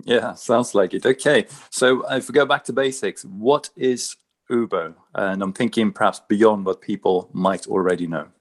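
A man's voice: on the low side, normal speed. A man hosting a podcast, introducing his guests and asking questions in a conversational way. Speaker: podcast host. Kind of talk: podcast interview.

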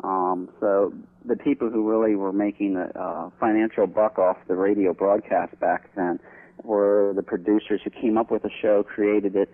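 A man with a lowish voice talks as an interview guest. He explains in an informal way, and his voice comes through a narrow, tinny telephone line. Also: steady tone, normal speed.

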